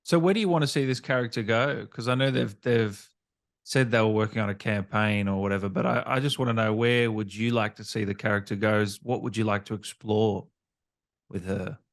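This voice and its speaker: A man with a fairly low voice hosts a casual interview, putting questions conversationally. The sound is dry, with no room echo. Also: steady tone, fairly quick. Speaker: interviewer, gaming channel host.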